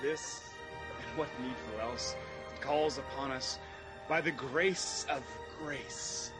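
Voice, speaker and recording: A man's voice: medium pitch, slow pace, natural voice. An actor playing an earnest, noble young king in a Shakespearean radio drama, with stately verse delivery. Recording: loud music behind the voice.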